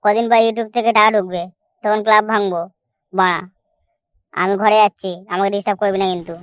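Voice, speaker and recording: An actor voicing a brash, boastful young village troublemaker in a comedy cartoon, loud and scolding. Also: mid-range voice, steady tone, fairly quick, clean tone, no echo, lightly acted. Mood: irritated boasting.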